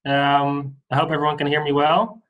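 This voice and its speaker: A man in his thirties from the Netherlands with a Dutch accent, giving a relaxed online lecture over a video call with many fillers. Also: on the high side, normal speed, slight background noise, poor audio. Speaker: guest lecturer.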